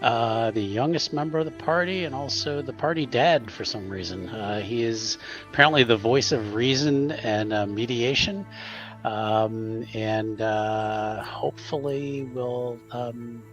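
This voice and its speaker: A man's voice: mid-range voice, unhurried, a bit bright, lo-fi sound, soft background music. A man introducing his tabletop character in a hesitant, understated way. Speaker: tabletop player.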